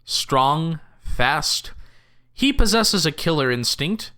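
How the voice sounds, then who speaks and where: Pompous audio drama narrator, male actor, slightly high voice, lively swings, grand theatrical delivery. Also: slow, clean tone, heavily acted. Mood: grandiose. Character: pompous male storyteller relishing ominous drama.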